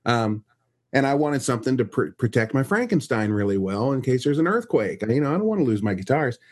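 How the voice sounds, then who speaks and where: A man hosting a casual podcast, talking loosely with lots of restarts. His voice is middling in pitch and a little husky. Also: very animated, brisk. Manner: rambling, friendly, with restarts. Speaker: podcast host. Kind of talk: casual chat.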